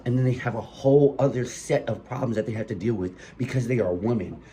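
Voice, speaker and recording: A man's voice: medium pitch, fast talker, mellow, a little hiss or hum. A young man with a cold, sniffling as he talks, preaching passionately and profanely into a phone mic in a room with colored lights. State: sick and sniffling. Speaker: podcast host. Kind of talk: solo podcast monologue.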